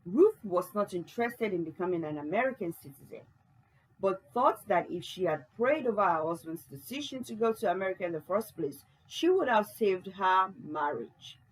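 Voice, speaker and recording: Storytelling narrator, read aloud by a woman, husky tone. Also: medium pitch, animated, normal speed, poor audio. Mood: explaining.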